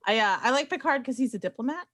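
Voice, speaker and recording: A woman's voice: sits high, lively intonation, fast, a bit bright, dry recording, studio quality. A woman chatting casually as a webcast guest, explaining things with enthusiasm. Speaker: guest on a webcast, Spanish professor. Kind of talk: casual chat.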